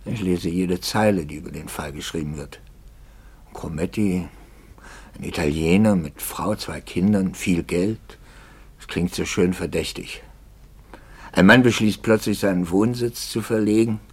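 An actor playing a troubled, anxious priest in a 1950s German radio drama, brooding and articulate, moving between hushed and impassioned speech. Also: male voice, deep voice, unhurried, slightly rough, full character voice. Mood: wry. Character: troubled, anxious priest, intense and probing, quietly bitter.

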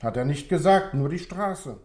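An actor playing a dutiful junior police officer relaying messages in a 1960s German radio play, plain and matter-of-fact. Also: male voice, on the high side, lively intonation, moderate pace, darkish tone, reverberant, lightly acted.

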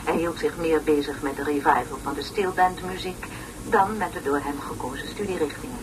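A woman's voice: sits low, brisk, lightly acted. An actress playing a pompous, long-winded woman lecturer on an educational tape in a radio play, speaking in solemn, over-articulated phrases. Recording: noisy, distant old radio play recording.

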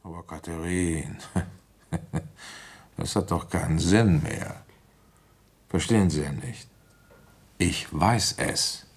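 An actor playing a weary, shrewd provincial policeman recounting an old case in a radio play, deliberate, conversational and wry. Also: low voice. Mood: calm, knowing. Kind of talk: German radio play, first-person narration and dialogue.

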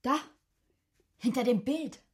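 A woman's voice: a little high, slow, husky. An actress playing a practical young woman and secret lover in a radio drama, speaking in a natural, intimate way. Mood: fearful. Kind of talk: German radio drama acting.

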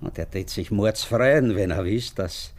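An actor with an Austrian accent playing a talkative, middle-aged farmer with sons at war in a radio drama. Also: male voice, on the low side, a little slow, darkish tone, slight background noise, close to an everyday voice. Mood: earnest, coaxing.

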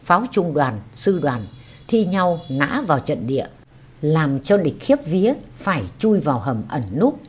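Low-voiced lady narrating a memoir, bit husky, pitch moves around a lot. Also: sing-song, measured pace, darkish tone. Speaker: narrator reading a war veteran's memoir. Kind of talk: scripted audiobook narration.

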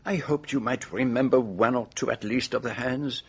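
An actor playing a shrewd, fussy foreign detective with a French accent, formal and gently probing, in a radio drama. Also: male voice, mid-range voice, normal speed, breathy edge.

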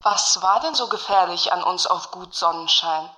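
An actress playing an indignant, betrayed commune member in a satirical radio play, asking pointed, sarcastic questions. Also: female voice, lowish voice, moderate pace, pushed and bright, poor audio.

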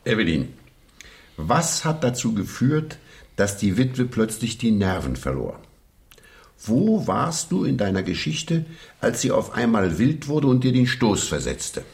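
A shrewd investigator in a radio mystery. His voice is low with very animated intonation. He speaks assertively and deliberately, sure of himself. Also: creaky, exaggerated voice. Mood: probing. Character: shrewd, confident male criminal investigator. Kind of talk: German radio crime drama acting.

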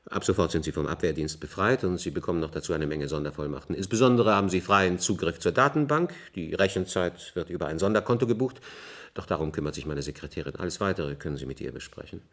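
Radio drama: formal, authoritative leader of underground survivors, low register, measured and fatherly delivery. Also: lively intonation, fairly bright tone, exaggerated voice. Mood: official, earnest. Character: authoritative male senior official of an underground survivor community. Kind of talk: German science-fiction radio drama acting.

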